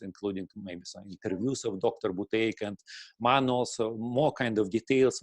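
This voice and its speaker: Middle-aged Russian-accented man, interview guest, mid-pitched, dry close sound, halting delivery with frequent throat clearing. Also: dry recording. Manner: halting, many fillers and restarts, clears throat often. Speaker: guest, breathing teacher. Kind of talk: casual interview answer.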